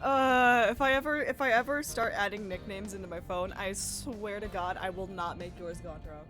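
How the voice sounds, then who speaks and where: A woman's voice: mid-range voice, normal speed. A woman co-hosting a let's play, giving casual, wry commentary with plenty of swearing. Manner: swears freely, wry banter. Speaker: co-host of a game let's play. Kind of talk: casual joking game commentary. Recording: game music faintly behind. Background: faint video game music.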